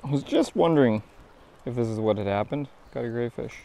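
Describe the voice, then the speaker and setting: A man's voice: mid-range voice, expressive, a little fast, creaky, mellow. A young man with a Canadian accent, talking casually as he hosts a fishing vlog outdoors on a boat. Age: young adult. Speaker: host of a fishing show. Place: outdoors on a small boat on a lake.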